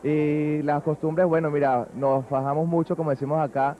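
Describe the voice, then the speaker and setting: Venezuelan man, somewhat high voice, chatty and hesitant; dull old TV sound. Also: little pitch movement, normal speed, a little hiss or hum. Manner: chatty, with hesitations and tag questions. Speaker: interviewee. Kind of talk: casual interview answer.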